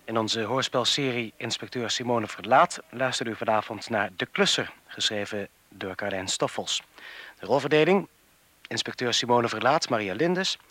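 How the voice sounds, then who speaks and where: A man's voice: medium pitch, normal speed, pushed and bright, no room sound. A man reading scripted credits as the announcer of a radio drama series.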